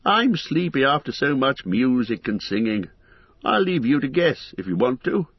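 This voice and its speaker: A man voicing a chatty, wry old male hobbit poet, talking briskly and with light indignation. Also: medium pitch, very animated, husky, no room sound, highs cut off.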